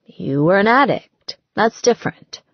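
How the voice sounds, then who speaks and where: Woman as a steady husky male trainer. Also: medium pitch, dry recording, highs cut off. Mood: firm, reassuring. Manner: firm, measured, reassuring. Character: steady, stoic, encouraging male personal trainer.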